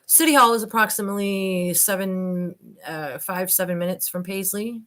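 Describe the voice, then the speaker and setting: A woman's voice: mid-range voice, normal speed, fairly bright tone. A woman reading a transcript aloud and commenting informally, addressing listeners directly with skeptical asides. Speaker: online commentator and host.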